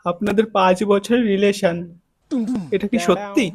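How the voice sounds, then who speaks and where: A man's voice: high voice, fairly even intonation, moderate pace, a crowd in the background. A man hosting a prank phone call, chatting casually in a teasing, needling, mock-lovelorn way. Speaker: prank-call video host.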